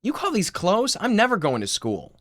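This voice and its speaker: Screenplay role: bratty seven-year-old girl, shrill, high and complaining , read by a man. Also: wildly swooping pitch, normal speed, fairly bright tone, no room sound, studio quality, heavily acted. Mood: shrieking, indignant. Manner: whiny, complaining.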